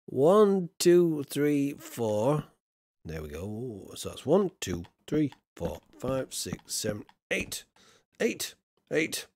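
Tired man streaming with lively pitch. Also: takes it slowly. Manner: jokey, mimics accents in short bursts, counts under his breath. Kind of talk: casual live-stream chat while building.

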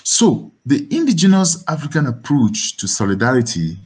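Husky Cameroonian man giving a lecture. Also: medium pitch, sing-song, a little mellow. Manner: halting, deliberate, repeats words. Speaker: academic philosopher, webinar speaker. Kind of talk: academic lecture over slides. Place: dim room indoors, on a video call. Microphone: computer microphone on a video call.

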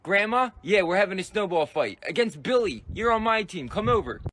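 A man's voice: high-pitched, expressive, a little fast, fairly bright tone, no room sound. A man voicing a lazy, sarcastic teenage big brother who mocks his younger sibling. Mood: mocking lazy. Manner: mocking, lazy drawl.

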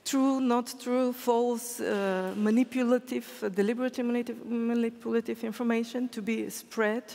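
A woman's voice: on the high side, fairly even intonation, normal speed, creaky, mellow. A woman moderating a panel discussion, pressing a follow-up point in slightly non-native English. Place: stage of a large hall. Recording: long hall reverb.

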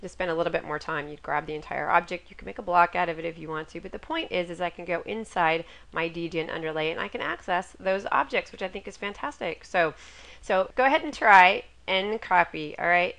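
A middle-aged woman, a little raspy from a cold, hosts a chatty, upbeat software tutorial over a screen recording with a webcam inset. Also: fairly low pitch, a little fast. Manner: chatty and upbeat, laughs at her own jokes. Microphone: webcam inset over screen capture.

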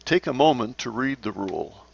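Gravelly man narrating a lesson. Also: medium pitch, big pitch swings, highs cut off. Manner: measured, instructional, poses questions to the learner. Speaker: sailing instructor. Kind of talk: scripted slide narration.

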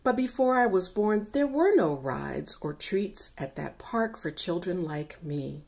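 A middle-aged woman reads a children's story aloud at an unhurried pace. Her voice sits a little low and rises and falls widely, with careful, expressive phrasing aimed at young listeners. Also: gravelly. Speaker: author reading own picture book.

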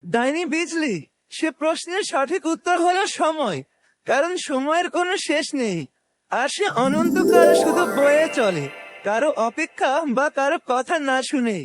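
A man voicing an earnest, thoughtful young cartoon hero who explains things deliberately. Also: very high voice, lively intonation, loud music behind the voice. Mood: confident. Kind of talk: cartoon voice acting.

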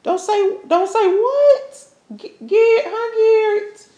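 A woman performs an angry, wounded woman in a relationship melodrama. Her voice is high and slow, stumbling and repeating as she accuses her cheating lover. The sound is roomy and echoey. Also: big pitch swings, a little mellow, dull audio, full character voice. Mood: indignant. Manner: stammering, repetitive, confrontational.

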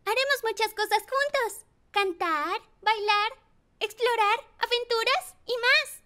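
A woman's voice: very high-pitched, slow, bright, forceful tone, full character voice. A woman voicing a sweet, curious preschool girl in a children's cartoon, childlike and full of exclamations. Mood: delighted. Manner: childlike, wide-eyed, exclaiming. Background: music.

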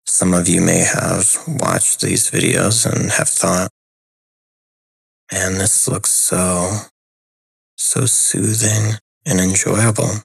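A man speaking soft ASMR as a hypnotist, hushed and breathy, soothing and deliberate, close to the microphone. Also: sits low, level tone, no echo, low-quality recording. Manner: slow, soothing, deliberate with pauses.

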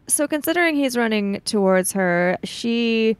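A woman's voice: a little high, expressive, unhurried, clear, resonant tone, no echo. A woman improvising narration for her character in a tabletop role-playing game, tentative and thoughtful.

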